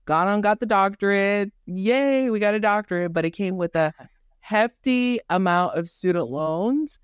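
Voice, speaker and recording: A woman's voice: medium pitch, unhurried, clear, resonant tone, no echo, clean studio sound. A woman from Haiti who grew up in Miami, telling her story conversationally as a podcast guest in an earnest, emphatic manner. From born in Haiti, raised in Miami. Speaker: podcast guest, doctor and rental operator.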